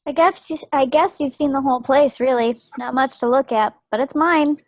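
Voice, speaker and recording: A woman's voice: high voice, normal speed, dry recording, close to an everyday voice. A woman playing an affectionate, chatty young wartime wife in a table read of a stage play over a video call. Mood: friendly, earnest. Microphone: computer mic on a video call. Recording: dull, dry video call recording.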